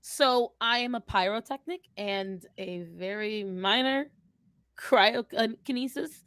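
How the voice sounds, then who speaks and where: A woman's voice: on the high side, takes it slowly, a bit bright, smooth, dry recording, studio quality. A woman voicing a cocky young fire-mage from the sixties, talking in sassy, blustering slang on an improvised livestream.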